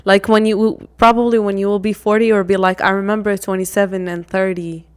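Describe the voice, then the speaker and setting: A woman's voice: mid-range voice, brisk, smooth. A young adult woman co-hosting a podcast in casual chat, chatty and laughing often. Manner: chatty, laughs often, animated when retelling. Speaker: podcast co-host.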